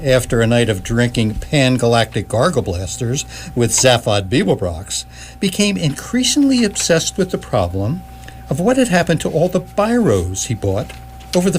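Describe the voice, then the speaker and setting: Man as lively-pitched, dry radio play narrator. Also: slight background noise, natural voice. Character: dry, erudite encyclopedic guide narrator. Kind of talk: scripted narration for live radio theatre.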